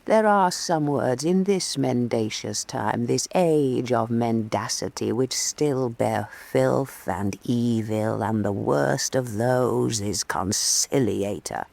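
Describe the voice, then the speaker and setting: An actress playing a proud, intense woman painter in a radio drama, declaiming with scorn and dramatic pauses. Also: rumbling low, vocal fry, a little mellow, exaggerated voice. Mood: bitter, scornful. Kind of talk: theatrical radio drama acting.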